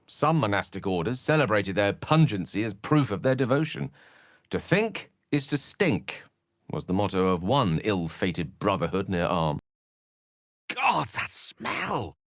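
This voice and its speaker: A learned, witty wizard from a fantasy video game. His phrasing is ornate and wry, with courteous irony and a lively, swooping melody. The middle-pitched voice is captured close and dry. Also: dry recording. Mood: urgent, dramatic. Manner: eloquent, wry, theatrical phrasing.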